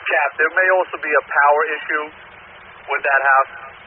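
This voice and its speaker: A man's voice: on the high side, steady tone, moderate pace. A man working as a firefighter on scene, passing instructions over a two-way radio. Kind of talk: operational radio traffic. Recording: tinny radio link with faint noise.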